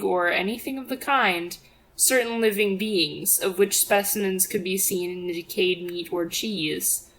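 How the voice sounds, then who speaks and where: A woman's voice: mid-range voice, a bit bright, low-quality recording. A woman reading a religious apologetics audiobook as scripted narration, in a formal, expository manner. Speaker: audiobook reader.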